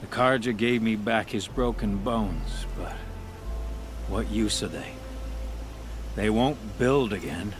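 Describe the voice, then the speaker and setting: Slow, gruff, grieving craftsman. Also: on the low side, background noise. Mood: bitter grief. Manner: blunt, heavy, halting. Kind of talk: video game acting.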